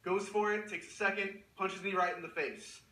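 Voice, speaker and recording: A man's voice: high voice, steady tone, pushed and bright, recorded from a distance. A man testifying as plaintiff in a TV courtroom, defensive in his account. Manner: quick, defensive. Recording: echoey courtroom.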